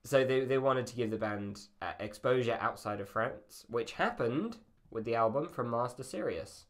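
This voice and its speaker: A man's voice: medium pitch, animated, normal speed. Slightly feminine-sounding male podcast host. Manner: rambling, self-deprecating, joking. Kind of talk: casual chat.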